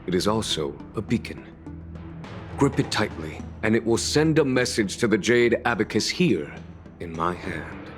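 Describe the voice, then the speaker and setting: A low-voiced, dignified fantasy general. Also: male voice, expressive. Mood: solemn, ceremonial. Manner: formal, measured, ceremonial phrasing. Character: composed, courteous male military general of a fantasy fleet. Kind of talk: scripted game voice acting. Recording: background music.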